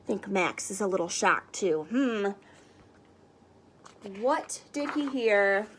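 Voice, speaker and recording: Female children's storyteller: slow, very lively, expressive, sing-song rhyme and dramatic pauses. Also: mid-range voice, a little hiss or hum. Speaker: storyteller reading a picture book aloud. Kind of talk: expressive children's read-aloud.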